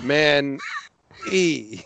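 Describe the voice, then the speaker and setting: A man's voice: fairly high pitch, animated, very slow, vocal fry, a bit bright, slight background noise. A middle-aged man co-hosting a casual podcast, chatty and self-mocking, laughing easily. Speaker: podcast co-host. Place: home, two floors from family.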